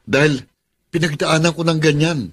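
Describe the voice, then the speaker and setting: A man's voice: a little high, steady tone, moderate pace, heavy background noise, lightly acted. A man playing a frank, worldly friend in a Filipino radio drama, giving emphatic, cautionary advice. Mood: earnest, advising. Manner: emphatic, advising.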